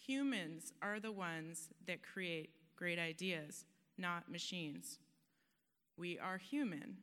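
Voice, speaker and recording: Woman, conference speaker, motivational, earnest, crisp smooth voice, long hall reverb. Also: fairly low pitch, slow pace, a bit bright. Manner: earnest, emphatic, laughs at herself. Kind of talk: motivational stage talk.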